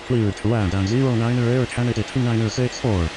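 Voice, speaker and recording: A man's voice: mid-range voice, steady tone, fairly quick, background noise, close to an everyday voice. A man voicing a businesslike airline pilot in a flight game with clipped, scripted radio phraseology. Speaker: airline pilot on air traffic control radio.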